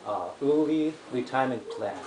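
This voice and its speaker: Halting Japanese-accented man, echoey living room. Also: medium pitch, expressive. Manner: halting, with frequent throat-clearing and filler pauses. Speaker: member sharing a testimony. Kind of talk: personal testimony to a small group. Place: living room at a home gathering. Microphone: camera across the room. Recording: echoey room with background noise.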